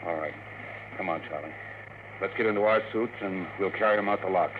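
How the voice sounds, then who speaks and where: A man's voice: low-pitched, a little fast, phone-quality sound, natural voice. An actor playing a practical spaceman who leads a crew, terse and commanding, in a 1950s radio drama. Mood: urgent, careful. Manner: terse, commanding, matter-of-fact. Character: gruff, practical spaceman leading a crew, male. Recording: noisy, thin, distant old radio recording.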